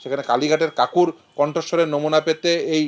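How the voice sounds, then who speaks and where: A man giving a formal, measured comment, rephrasing as he goes. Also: a little high, steady tone.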